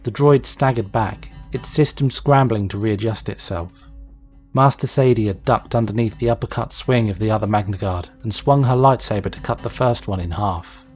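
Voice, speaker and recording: Male narrator for dramatic fan fiction, slightly low voice, scripted action prose over faint music. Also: normal speed, darkish tone.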